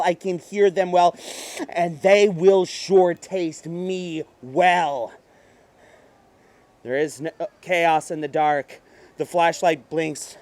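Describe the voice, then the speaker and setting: A terrified madman voiced by a man narrates a horror story in a high voice. The pace is slow and halting, breathy and theatrical, with worn-out desperation. The sound is very dry, with no room around it. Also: no echo. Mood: manic anguish, then ominous dread. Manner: breathy, halting, theatrical, swinging from hush to outburst. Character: terrified, exhausted madman narrator hunted by demons. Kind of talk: dramatic horror monologue reading.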